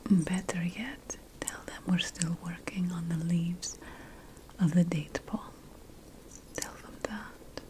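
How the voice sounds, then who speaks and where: A woman whispering in a breathy, gentle, conspiratorial way, playing a secretive ancient witch in an ASMR fantasy roleplay. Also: lowish voice, fairly even intonation, takes it slowly, long pauses. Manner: gentle, conspiratorial, addressing the listener as an assistant. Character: secretive ancient witch priestess researching magical potion plants.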